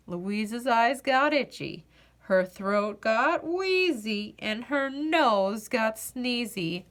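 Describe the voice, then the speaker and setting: A woman storyteller reading a children's picture book aloud, expressively and deliberately, with dramatic pauses on key words. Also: on the high side, fairly bright tone.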